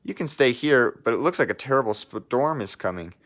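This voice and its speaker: A man's voice: medium pitch, lively intonation, fairly quick, a bit bright, pitched above the performer's own voice, natural voice. A man voicing a frowning station master in a children's storybook. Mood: gruff warning.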